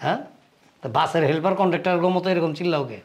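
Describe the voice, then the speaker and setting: A man's voice: fairly high pitch, steady tone, lightly acted. A man with a colloquial Bangladeshi accent playing a domineering, hot-tempered husband and elder brother who scolds bluntly in a family drama. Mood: angry, scolding. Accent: colloquial Bangladeshi Bengali. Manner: scolding, blunt, rapid-fire.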